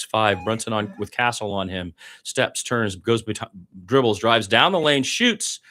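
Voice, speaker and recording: A man with a fairly low voice commentates a basketball game live, radio style. He follows the action in short calls and adds exasperated, partisan remarks as a fan of one team. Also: a bit bright.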